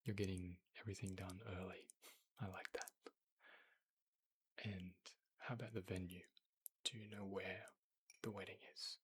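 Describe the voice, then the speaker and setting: A man playing a courteous, attentive boutique tailor in an ASMR roleplay, murmuring and whispering gently, politely and without hurry. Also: sits low, level tone, normal speed, pauses often, a little hiss or hum. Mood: gentle, attentive. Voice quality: breathy, whispery. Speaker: ASMR performer.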